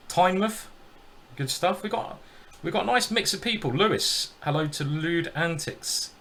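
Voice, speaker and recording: A man's voice: a little high, fairly bright tone. A man giving casual, jokey live-stream commentary on his own chess game, greeting viewers as he thinks aloud.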